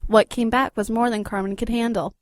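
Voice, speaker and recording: High-pitched woman narrator, dry studio sound. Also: steady tone, a little fast, dry recording. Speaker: recap narrator. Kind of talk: scripted story narration.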